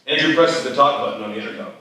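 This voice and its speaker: A man narrates screenplay directions at a live reading. His voice is bright and forceful at a middling pitch, picked up from a distance in a strongly reverberant hall. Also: racing, slight background noise. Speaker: stage directions reader. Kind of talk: scripted narration at live table read. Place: live festival stage reading. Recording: very echoey hall, distant mic.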